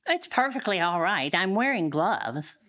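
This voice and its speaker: A woman's voice: on the low side, big pitch swings, a little slow, a bit bright. A woman playing a curious, headstrong young lady in a live audio drama, breezy and pert. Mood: breezy, defiant. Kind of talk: live radio-style audio drama acting.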